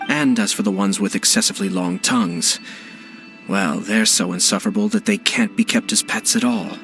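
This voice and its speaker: An actor playing a suave young noble commissioner in a video game, formal, measured and authoritative, polite with cool menace. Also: male voice, lowish voice, animated, fairly bright tone. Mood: coolly threatening. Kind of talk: English video game voice acting. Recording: music under the voice.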